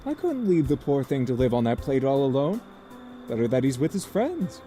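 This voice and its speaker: A man's voice: medium pitch, big pitch swings, moderate pace, dark tone, faint music behind, close to an everyday voice. A man voicing an easygoing, earnest, food-loving uncle in a video game. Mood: playful. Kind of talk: scripted game dialogue.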